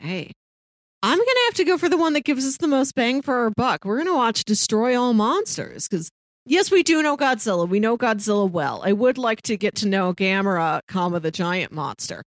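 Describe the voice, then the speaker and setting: A woman's voice: a little high, animated, normal speed, dry recording. A woman talking casually and conversationally as a podcast co-host.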